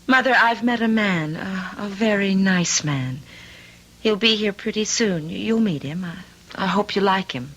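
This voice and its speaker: Casting: young wife in a vintage radio play, tense forward voice, tired yet determined, dramatic hesitations. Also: female voice, mid-range voice, expressive, measured pace, fairly bright tone, muffled recording. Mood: firm, tense. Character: weary, resolute estranged wife and daughter. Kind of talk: old-time radio drama acting.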